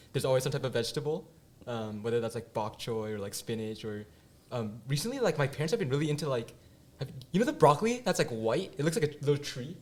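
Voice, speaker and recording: A man in his twenties from the Bay Area, chatting casually on a student podcast, rambling with lots of fillers and frequent laughter. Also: medium pitch. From Bay Area, California. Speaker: podcast guest. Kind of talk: casual chat.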